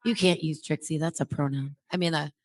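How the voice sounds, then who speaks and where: A woman in her fifties with a distinctly low voice, recorded dry. She chats casually as a show co-host, rambling along in a conversational manner. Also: steady tone, fairly quick, no room sound. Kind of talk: casual chat.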